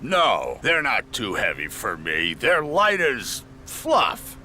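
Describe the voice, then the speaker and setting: A man's voice: mid-range voice, huge pitch swings, takes it slowly, sharp tone, a little hiss or hum, full character voice. A man voicing a grumpy, mocking dockside crane in a children's cartoon, grumbling and scornful. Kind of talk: children's cartoon character acting.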